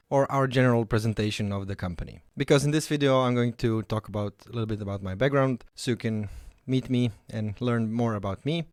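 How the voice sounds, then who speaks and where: A man's voice: medium pitch, no echo, studio quality. A man in his thirties with a Croatian accent, giving an informal talk introducing himself as a company founder. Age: 30s.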